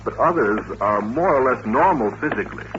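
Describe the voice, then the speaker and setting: An actor playing a courteous, patient doctor who runs an institution, explaining things politely and with measure in an old-time radio drama. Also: male voice, medium pitch, lively intonation, a little fast, over music, natural voice. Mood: earnest, explanatory. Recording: dull old radio recording, faint hiss.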